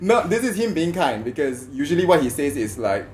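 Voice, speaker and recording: A man from Malaysia, hosting a podcast in a lively, playful way. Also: on the high side, crowd noise. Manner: lively and playful, quick to laugh. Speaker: podcast host. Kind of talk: podcast intro and interview.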